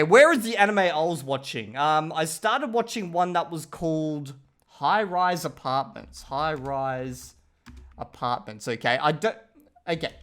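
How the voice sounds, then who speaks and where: A man talks to his viewers in a loose, rambling way, often checking in as he goes. His voice sits a little higher than most men's. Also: fairly bright tone. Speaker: channel host reviewing his language learning. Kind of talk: casual talking-head review.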